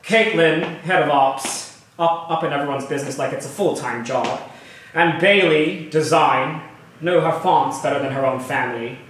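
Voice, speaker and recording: High-pitched, glib tech founder, played by a man, echoey. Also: moderate pace, a bit bright, a little hiss or hum, close to an everyday voice. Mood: glib, sardonic. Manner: showy, sardonic, flustered asides. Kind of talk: theatrical monologue, staged reading. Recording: very echoey room, distant mic.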